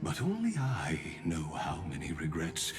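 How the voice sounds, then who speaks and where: An actor playing an ancient, weary mechanical sculptor in a video game, speaking in a measured, theatrical, philosophical way with cool menace. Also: male voice, extremely low pitch, huge pitch swings, full character voice. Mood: wistful, grave. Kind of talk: scripted video game drama acting. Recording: music under the voice.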